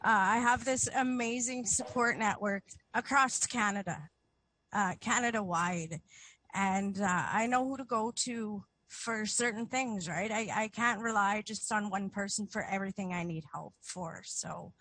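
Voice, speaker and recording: A woman's voice: medium pitch, a little slow, a bit bright, low-quality recording. A woman guest speaking reflectively and resolutely in an interview. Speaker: guest, book author. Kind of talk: interview answers.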